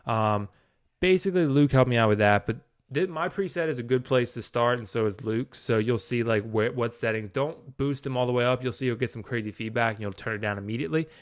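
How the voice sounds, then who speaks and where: Male tutorial presenter, informal and conversational, crisp tone, dry recording. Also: medium pitch, a little fast, no room sound. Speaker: tutorial video maker. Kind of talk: casual hands-on app tutorial.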